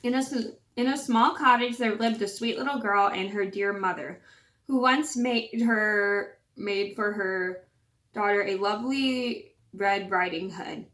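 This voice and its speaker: A woman's voice: mid-range voice, unhurried, fairly bright tone. A woman with a scratchy voice hosting her channel and reading a fairytale aloud, with chatty, apologetic asides. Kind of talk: storybook read-aloud.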